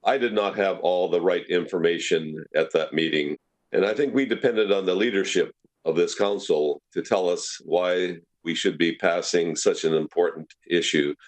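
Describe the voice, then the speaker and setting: A man's voice: low voice, normal speed, poor audio. A man making formal remarks at a meeting as a city councillor.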